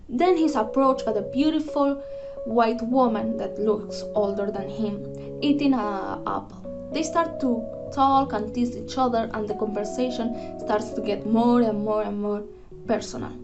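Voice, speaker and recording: A young woman, a student giving a prepared book review in an earnest, careful way with a few restarts. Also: a little high. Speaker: student presenter. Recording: dull lo-fi voice with music behind. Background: guitar music.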